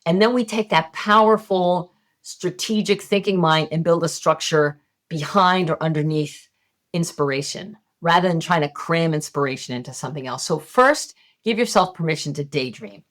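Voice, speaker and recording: A woman's voice: low voice, normal speed, a bit bright. A middle-aged woman, a hypnotist and medium, talking candidly and casually as a podcast guest. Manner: casual and candid, occasional swearing. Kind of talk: podcast interview, conversational teaching.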